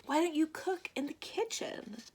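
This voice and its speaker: A woman's voice: high voice, expressive, a little slow, darkish tone, pitched above the performer's own voice. A woman voicing an inquisitive, incredulous child in a children's picture book read-aloud. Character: inquisitive, incredulous child questioning a friend's odd household.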